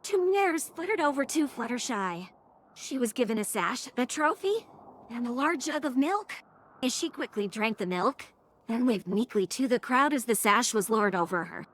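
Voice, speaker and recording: A woman's voice: fairly high pitch, expressive, moderate pace, fairly bright tone. A woman's synthetic text-to-speech voice reading scripted third-person story narration.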